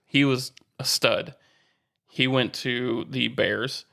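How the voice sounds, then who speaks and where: American guy co-hosting a sports podcast, medium pitch, just casual banter about games. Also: steady tone, takes it slowly, creaky, sharp tone.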